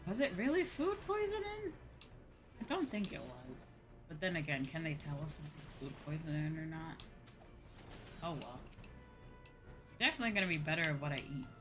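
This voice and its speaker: A woman's voice: low-pitched, very animated, moderate pace, pauses often, music in the background. A woman streaming a game and chatting casually, with a sweary, playfully whiny and emphatic manner. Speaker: streamer, VTuber host.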